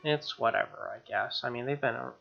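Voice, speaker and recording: Guy reading a visual novel out loud, fry in his voice, informal asides, soft guitar music behind. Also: mid-range voice, fairly quick, lo-fi sound. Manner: informal, slangy commentary. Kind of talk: dramatic reading with casual asides.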